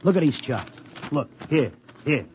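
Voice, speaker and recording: Brief: streetwise New tip-sheet man, gruff and slangy, 1950s radio play, muffled lo-fi audio. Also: a little high, expressive, measured pace, darkish tone, a little hiss or hum. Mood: determined. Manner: slangy, blunt, tough-guy talk. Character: streetwise Broadway racetrack tipster, devoted husband. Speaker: narrator and lead. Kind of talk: 1950s radio drama acting. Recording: dull 1950s radio broadcast.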